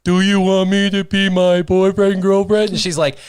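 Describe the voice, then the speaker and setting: A man in his thirties hosting a relaxed studio stream, telling stories with lots of animation and reading out viewer comments. His voice sits a bit high for a man. The sound is close and dry, with no room around it. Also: moderate pace, clean tone, no echo. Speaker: host. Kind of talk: casual livestream chat. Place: small studio with brick wall. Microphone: studio mic on stand, headphones.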